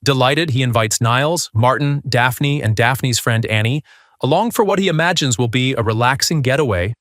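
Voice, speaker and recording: A man's voice: mid-range voice, moderate pace, a bit bright, no echo. A man hosting a video countdown, reading a scripted narration.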